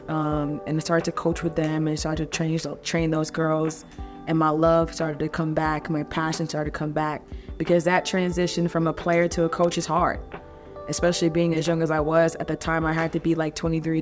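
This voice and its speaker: A woman in her thirties from North Carolina, a basketball coach, telling her life story in a relaxed way with many ums. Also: low-pitched. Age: 30s. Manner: relaxed, conversational with frequent ums. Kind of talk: personal story told to camera.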